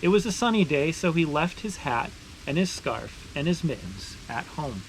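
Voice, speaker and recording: A man reading a picture book aloud to children with expressive, deliberate phrasing, stressing words as he narrates. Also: a little high, low-quality recording. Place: beside a boiling maple sap evaporator. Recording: steady ambient background noise.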